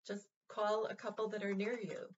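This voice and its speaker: Gentle, halting woman vlogger, lo-fi sound. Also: mid-range voice, level tone, slight background noise. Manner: halting, full of fillers and restarts, gentle and reassuring. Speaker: vlog host. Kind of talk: casual heartfelt address to viewers.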